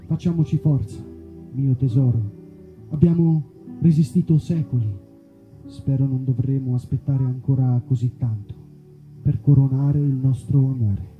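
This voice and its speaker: A man's voice: mid-range voice, fairly even intonation, unhurried, narrow, tinny audio, faint music behind, natural voice. A man playing a lovesick, solemn wolf in a theatrical comic monologue, melodramatic and pining. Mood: yearning. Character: lovesick big bad wolf reading a secret love letter.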